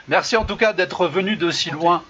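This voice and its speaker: A man hosting an online improv show over a video call, hesitant with many fillers and frequent laughs. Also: high-pitched. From Lyon region. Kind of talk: casual live-stream hosting. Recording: dull lo-fi video call audio with faint noise.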